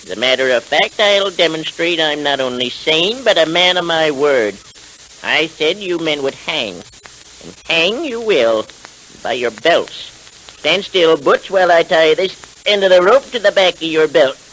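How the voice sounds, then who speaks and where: An actor playing a coldly self-righteous masked rider in a 1930s radio western serial, with formal, taunting speech on a hissy old recording. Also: male voice, fairly high pitch, lively intonation, unhurried, fairly bright tone, low-quality recording. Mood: menacing, mocking.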